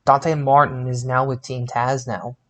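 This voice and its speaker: A man co-hosting a casual wrestling review podcast in a halting way, often repeating his words. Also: mid-range voice, little pitch movement, noisy background. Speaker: podcast co-host.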